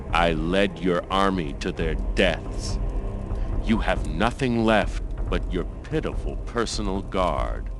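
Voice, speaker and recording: An actor playing a menacing, scheming male villain in a video game cutscene, with a theatrical manner. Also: low-pitched. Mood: taunting. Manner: taunting, persuasive, theatrical. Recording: loud music under the voice. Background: cinematic game music.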